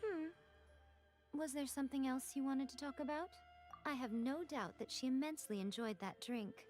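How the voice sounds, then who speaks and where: A woman's voice: high-pitched, moderate pace, a little mellow, natural voice. A woman playing a sly, elegant shrine priestess in a video game, teasing in a playful, knowing, refined way. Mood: knowing, coy. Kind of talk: scripted video game voice acting. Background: music.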